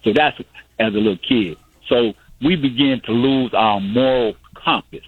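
A man's voice: mid-range voice, unhurried, breathy edge, dry recording. A man in his sixties calling into a radio show, arguing insistently and repeating himself to press his points. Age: 60s. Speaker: caller. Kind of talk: radio call-in debate. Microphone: phone. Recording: tinny phone line.

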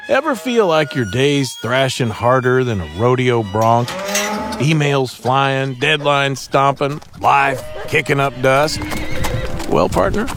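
A man voices a travel ad with folksy cowboy charm. His voice is gravelly. Music plays clearly under him. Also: mid-range voice, lively intonation, slow pace. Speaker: ad voice-over.

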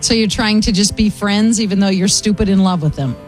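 Gentle teasing radio host woman. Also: mid-range voice, a little fast, soft background music. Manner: gentle, teasing, motherly advice. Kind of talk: late-night radio call-in chat.

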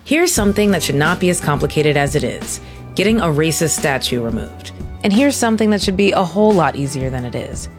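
A woman's voice: low-pitched, lively intonation, a little fast, faint music behind. A woman reading a podcast promo in a wry, pointed way. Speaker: podcast host. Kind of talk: promo read.

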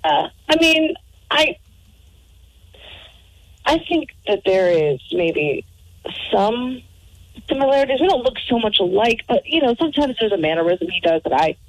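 A woman's voice: fairly high pitch, very animated, normal speed, long pauses, rough recording. An American woman from Chicago calling in to a radio show, giving a casual, rambling and hesitant personal account. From Chicago, United States.

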